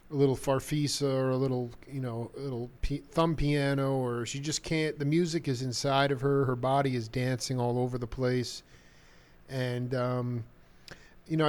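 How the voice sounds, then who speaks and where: A man hosting a live radio talk show, rambling easily and calling musicians "cats" as he introduces and questions a guest. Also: medium pitch. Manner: relaxed, rambling, jazz slang like "cat". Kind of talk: loose conversational radio interview.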